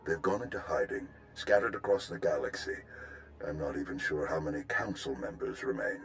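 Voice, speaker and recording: An actor playing a courteous, formal Republic military overseer in a video game, with polished and effusive speech. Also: male voice, a bass voice, lively intonation, brisk, fairly bright tone, full character voice, poor audio. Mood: grave, courteous. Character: genteel, courteous male Republic military overseer, tea connoisseur. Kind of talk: video game cutscene acting.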